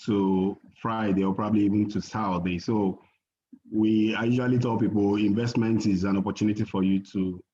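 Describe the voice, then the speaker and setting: A man giving an investment presentation on a video call, measured and full of fillers and restarts. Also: fairly low pitch, steady tone, warm tone. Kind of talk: webinar presentation. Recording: muffled video call audio.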